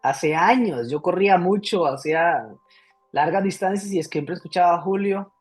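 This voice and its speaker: A man in his thirties from Costa Rica, a comedian guest telling his life story in a casual, lively interview. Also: sits high, moderate pace, slightly rough, soft background music. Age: 30s.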